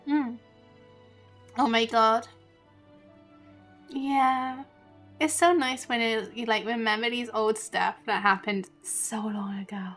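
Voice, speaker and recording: A woman's voice: a little high, fairly even intonation, takes it slowly, long pauses, fairly bright tone. A woman doing casual livestream commentary on a video game, chatty and giggly. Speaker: game streamer. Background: game music.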